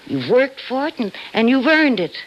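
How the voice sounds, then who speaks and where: An actress playing a fond, reassuring wife who gently dismisses worries, in old-time radio drama style. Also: female voice, on the high side, sing-song, normal speed. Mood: coaxing, reassuring. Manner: coaxing, hesitant repetitions. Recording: dull, faintly noisy vintage radio recording.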